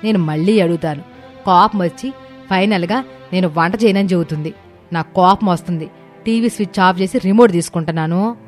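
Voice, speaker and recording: A woman's voice: on the low side, brisk, darkish tone, soft background music, close to an everyday voice. A woman voicing a stubborn, self-satisfied middle-aged mother-in-law in a cartoon moral story. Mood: complaining. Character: Sharada, stubborn, gloating middle-aged mother-in-law who loves eating.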